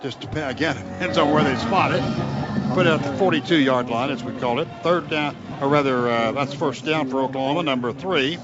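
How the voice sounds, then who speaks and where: A man's voice: mid-range voice, fairly quick, over loud music. An American man calling live college football on radio, describing each play in clipped phrases and lifting his voice when the action builds. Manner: clipped running description, rising into excited calls on big plays. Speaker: radio play-by-play announcer for the Oklahoma team. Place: outdoor football stadium broadcast. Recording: dull, noisy stadium radio broadcast.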